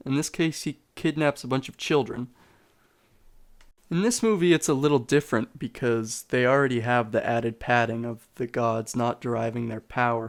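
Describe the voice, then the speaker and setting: Guy doing a sarcastic video essay, casual wording, gravelly fry in his voice. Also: medium pitch. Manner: sarcastic, informal. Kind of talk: scripted video essay commentary.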